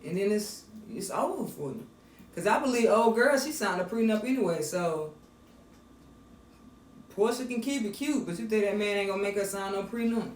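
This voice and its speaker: A man with a deep voice and a Southern accent, co-hosting a podcast in laid-back, joking banter. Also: animated, normal speed. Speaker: podcast co-host. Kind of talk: casual chat. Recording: distant mic in an echoey room with faint noise.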